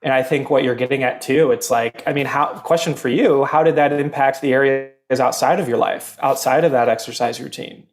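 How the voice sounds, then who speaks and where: Calm man explaining health topics. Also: mid-range voice, brisk, hardly any pauses. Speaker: guest health coach. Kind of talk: casual interview chat.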